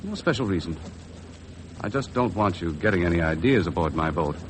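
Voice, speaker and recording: An American actor playing a tough, blunt, world-weary charter boat skipper in 1950s radio drama style, terse and hard-boiled. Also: male voice, low voice, lively intonation, a little fast. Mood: stern. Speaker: lead actor and narrator of a radio adventure. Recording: noisy vintage 1950s radio recording.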